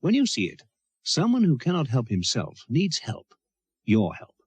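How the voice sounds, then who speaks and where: Male narrator for an explanatory public appeal, lively intonation, slightly rough husky tone, earnest. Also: mid-range voice, moderate pace, dry recording. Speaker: public information film narrator. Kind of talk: scripted public information narration.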